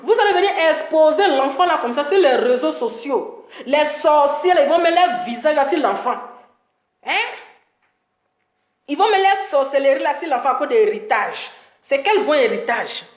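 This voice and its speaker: High-voiced Ivorian woman, emphatic and scolding. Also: moderate pace, reverberant. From Ivory Coast. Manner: animated scolding, emphatic repetition. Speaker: social media live-stream host. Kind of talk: opinionated live-stream commentary with call-in.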